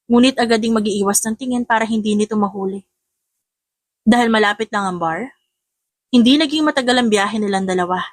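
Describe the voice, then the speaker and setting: Filipino lady reading a love story audiobook, highish voice, really dry recording. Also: dry recording. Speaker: audiobook narrator. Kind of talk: scripted romance story narration.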